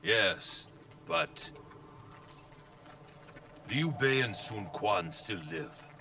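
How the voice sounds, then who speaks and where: A man playing an ambitious, commanding warlord in a video game, speaking in a grand, measured, declamatory way. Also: fairly low pitch, sing-song, creaky, distant mic, noisy background, full character voice. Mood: solemn, visionary. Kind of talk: dramatic video game voice acting.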